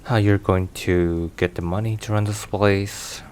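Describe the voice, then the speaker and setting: Man acting a low, unhurried, weary mafia boss. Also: fairly even intonation, a little hiss or hum, close to an everyday voice. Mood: weary, confiding. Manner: hushed, unhurried, sighing between phrases. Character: weary, caring male mafia boss, protective boyfriend. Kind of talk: ASMR boyfriend roleplay.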